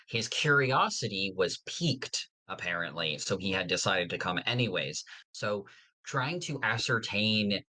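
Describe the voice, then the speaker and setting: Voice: low voice, pushed and bright, poor audio. A podcast co-host in casual fan discussion, rambling along with slang and the odd mild swear.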